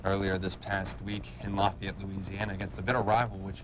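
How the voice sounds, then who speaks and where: A man's voice: low voice, monotone, brisk. A man hosting a sports interview show, asking long, run-on questions like a play-by-play announcer. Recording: clearly noisy background.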